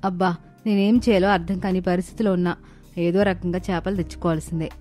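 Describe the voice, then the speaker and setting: A woman voicing a sly, food-loving young daughter-in-law in a cartoon story, expressive and conspiratorial. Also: fairly low pitch, quick pace, faint music behind, natural voice. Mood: scheming. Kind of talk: dramatized moral-story cartoon acting.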